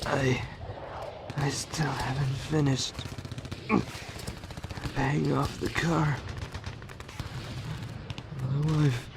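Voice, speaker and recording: A man's voice: mid-range voice, level tone, extremely slow, creaky, pauses often. A man acting in a radio drama as a mortally wounded soldier, weak, gasping and afraid. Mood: dying, pleading. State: gasping, fading, in pain. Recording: battlefield gunfire noise behind voice.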